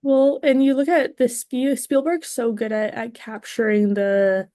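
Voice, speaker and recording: A woman's voice: a little high, steady tone, unhurried, warm tone, dry recording, clean studio sound. A woman talking casually as a panelist on a podcast. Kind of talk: casual chat.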